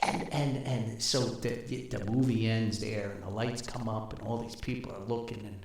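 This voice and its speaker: A man's voice: lowish voice, steady tone, moderate pace, vocal fry, darkish tone. An older man hosting a podcast, telling humorous anecdotes in a folksy way and acting out the dialogue. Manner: folksy, chuckles often, acts out dialogue. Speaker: podcast host. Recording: very roomy, echoing sound.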